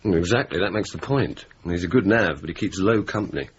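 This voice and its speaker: An actor playing a witty young RAF officer in a radio drama, speaking with mock-pompous turns of phrase and service slang. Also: male voice, low-pitched, animated, fairly quick, breathy edge, full character voice. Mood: dry banter. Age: young adult. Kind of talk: 1980s radio drama, narration and banter. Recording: dull, hissy old radio recording.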